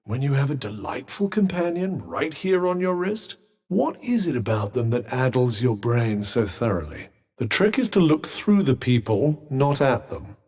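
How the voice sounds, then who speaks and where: Game companion: sardonic male bracelet, drawling and condescending, mid-pitched and animated. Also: normal speed, husky. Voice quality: dry, wry, sarcastic. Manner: drawling, faintly mocking asides. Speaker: game character. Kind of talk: game voice lines.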